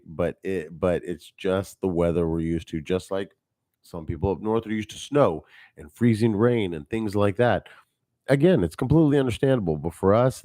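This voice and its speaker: A man rambles through a live podcast, talking straight to his viewers. His voice sits very low and turns a little nasal now and then. The close studio mic leaves the sound dry, with no room echo. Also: mellow. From Texas. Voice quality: nasal at times. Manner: rambling, chatty, talks to viewers. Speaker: podcast host. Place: home studio. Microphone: studio mic on a mixing board.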